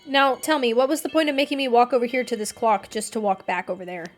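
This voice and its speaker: A woman with a fairly high voice streams a game in a casual way. She comments and reads text aloud, with clear music playing behind her. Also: steady tone, quick pace. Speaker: live streamer.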